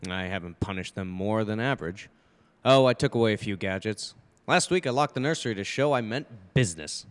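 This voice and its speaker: A man's voice: lowish voice, animated, a little fast, smooth, lightly acted. A man playing a stern, exasperated father of two in an old-time radio drama, speaking emphatically. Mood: troubled, insistent.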